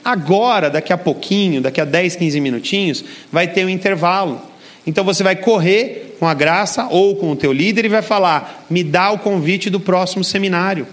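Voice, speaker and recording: Man, Brazilian accent, high voice, middle-aged, energetic persuasive seminar speaker, large hall. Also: moderate pace. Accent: Brazilian Portuguese. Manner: energetic, persuasive, addresses audience directly. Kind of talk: motivational seminar talk.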